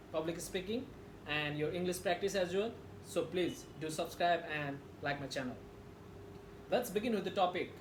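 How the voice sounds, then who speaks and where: Male public speaker, Nepali accent, earnest and emphatic with dramatic pauses, recorded in an echoey room with clear background noise. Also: sits high. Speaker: public speaking practice presenter. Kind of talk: rehearsed motivational speech.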